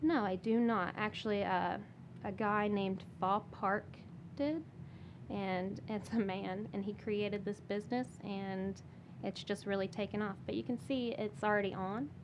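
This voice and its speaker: A woman, a guest on a podcast, talking casually and softly with many fillers and restarts. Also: mid-range voice, steady tone, unhurried, background noise. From Michigan. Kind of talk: casual interview talk.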